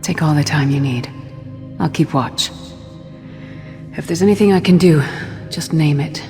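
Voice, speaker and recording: Tough fantasy game warrior woman, blunt and wry, loud music behind, echoey hall. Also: deep voice, measured pace. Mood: earnest, guarded. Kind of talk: fantasy video game acting. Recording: long reverb, music behind the voice.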